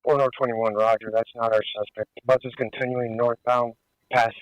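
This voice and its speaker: A man's voice: medium pitch, level tone, brisk, dry recording. A male patrol officer giving matter-of-fact, procedural status reports over police radio. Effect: police radio transmission. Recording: muffled band-limited police radio.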